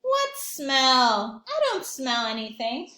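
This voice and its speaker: A woman's voice: sits high, wildly swooping pitch, pushed and bright, echoey room, full character voice. A woman voicing a stubborn, indignant male pigeon from a picture book, protesting and arguing emphatically. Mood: argumentative. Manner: emphatic, argumentative, drawn-out protests.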